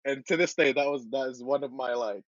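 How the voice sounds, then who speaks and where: A man's voice: mid-range voice, a little fast, no room sound. A man hosting a podcast in casual chat, laughing often as he tells stories. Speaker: podcast host. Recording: dull, dry recording.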